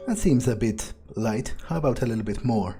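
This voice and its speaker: A man's voice: mid-range voice, expressive, fairly quick, warm tone. A man doing an in-character game playthrough, musing aloud with plenty of swearing and hesitant phrasing. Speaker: gamer playing in character. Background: game music.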